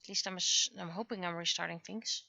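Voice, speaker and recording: A woman livestreamer chatting casually and haltingly, muttering to herself as she thinks aloud, on dull lo-fi audio. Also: lowish voice, fairly even intonation, vocal fry, pushed and bright, no echo. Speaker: livestreamer, webcomic artist.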